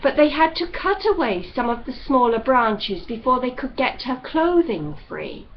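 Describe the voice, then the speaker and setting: A woman reading a novel aloud as expressive literary narration. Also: a little high, moderate pace, muffled recording, a little hiss or hum. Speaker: audiobook reader.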